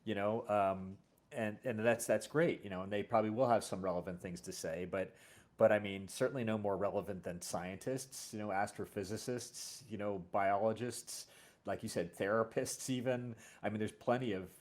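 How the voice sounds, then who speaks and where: A man, a show host and writer, chatting casually in a halting way, repeating words and often adding "you know". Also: fairly low pitch, a little mellow. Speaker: series creator and show host. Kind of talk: casual conversation about a fiction series.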